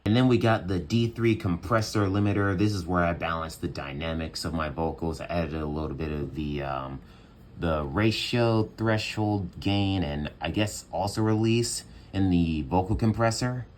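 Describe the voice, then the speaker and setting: A young man with a bassy voice, casually walking through his home production setup with lots of fillers. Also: normal speed, a little hiss or hum. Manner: relaxed, chatty, many fillers. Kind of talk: casual tutorial walkthrough. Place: small home studio room.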